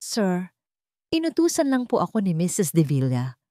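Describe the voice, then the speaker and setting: A woman's voice: on the low side, huge pitch swings, moderate pace, mellow, no echo, studio quality. A woman voicing a polite male private investigator in an audio drama, earnest and uneasy with guilt. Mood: remorseful confession. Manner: deferential, earnest.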